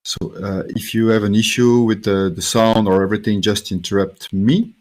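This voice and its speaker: A man's voice: on the low side, fairly even intonation, moderate pace. A man from Belgium, a native French speaker with a French-speaking Belgian accent, giving a technical conference talk with many fillers and restarts.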